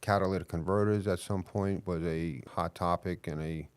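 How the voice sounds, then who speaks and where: A man's voice: low-pitched, fairly even intonation, normal speed, clean tone, dry recording. A middle-aged man with a New York accent, a police chief talking casually and earnestly in an interview, with frequent hesitations. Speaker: police chief, podcast guest.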